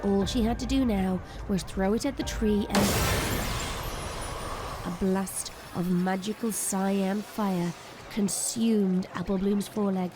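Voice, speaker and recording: A woman's voice: medium pitch, steady tone, takes it slowly, over music. A woman narrator delivering dramatic scripted storytelling for an audio drama.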